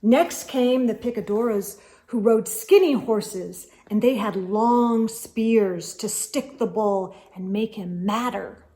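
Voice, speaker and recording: A woman's voice: on the high side, slow, few pauses, dark tone. A woman reading a children's storybook aloud as host, expressively and with emphasis, speaking directly to young listeners.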